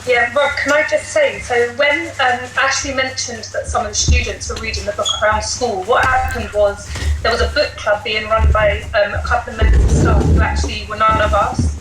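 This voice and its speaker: A woman's voice: a little high, fairly even intonation, brisk, music in the background. A woman teacher explaining things conversationally as a guest on a podcast. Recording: echoey room, faint background noise.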